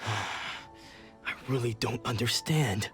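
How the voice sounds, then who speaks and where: A man playing a stern, bewildered swordsman in an anime-style game, speaking in a terse, brooding, deliberate way. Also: medium pitch, a bit bright, soft background music. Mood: troubled, bewildered.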